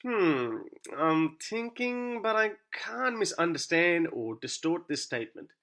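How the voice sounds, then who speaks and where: A man's voice: a little high, big pitch swings, slow pace, a bit bright. A man whose first language is Urdu, delivering a scripted, argumentative monologue full of sarcastic rhetorical questions. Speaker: YouTube commentator.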